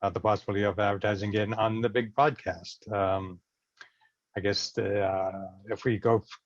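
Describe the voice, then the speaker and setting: Low-voiced, hesitant man chairing a meeting. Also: flat delivery, normal speed, dry recording. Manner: hesitant, searching for words. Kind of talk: informal meeting moderation. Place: online video meeting. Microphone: video call. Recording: dull, dry video-call audio.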